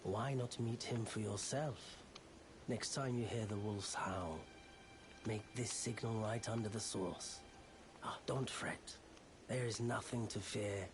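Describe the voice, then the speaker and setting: An actor playing a weary, soft-spoken wandering merchant in a fantasy video game, speaking in a hushed, measured, confiding way. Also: male voice, lowish voice, noisy background. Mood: wry, inviting. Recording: game dialogue with clear music behind. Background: music.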